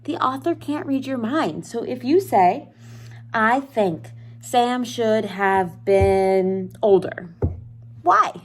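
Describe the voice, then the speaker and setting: A woman's voice: on the high side, takes it slowly. A woman schoolteacher explaining an assignment to young students in an informal, encouraging way, speaking to them directly and posing questions. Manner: encouraging, instructional, with rhetorical questions; informal, addresses listeners directly.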